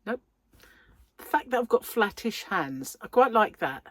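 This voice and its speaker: A woman's voice: medium pitch, very animated, a little slow, fairly bright tone. A middle-aged woman with a British accent talks casually to her viewers, poking fun at herself and laughing often. Her voice swings up and down a lot, and a slight wheeze sits under her breathing. The sound is close and dry, with no room echo. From United Kingdom. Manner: chatty, self-deprecating, laughs often. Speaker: crochet channel host. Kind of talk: casual chat to camera.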